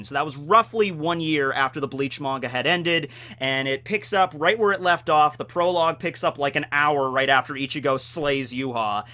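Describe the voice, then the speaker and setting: A man hosting a video, talking to viewers in a casual, slangy, animated way like a fan giving commentary. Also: fairly high pitch. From United States. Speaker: video host reviewing a light novel.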